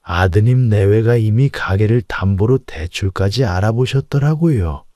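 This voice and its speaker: A man acting a conscientious male estate agent in an audiobook. The voice is lowish and dark, very dry, with a grave, earnest delivery. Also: animated, normal speed, no echo, lightly acted. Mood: grave, confiding.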